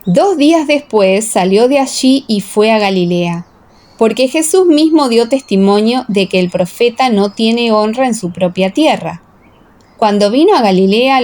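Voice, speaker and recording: A woman's voice: medium pitch, a little mellow. A woman reading scripture as the narrator of a dramatized audiobook.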